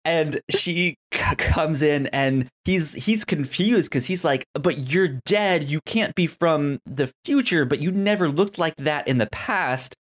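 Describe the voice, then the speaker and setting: A man co-hosting a podcast in casual TV recap chat, excitable and often stammering over repeated words. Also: on the high side, slow pace, few pauses. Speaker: podcast co-host.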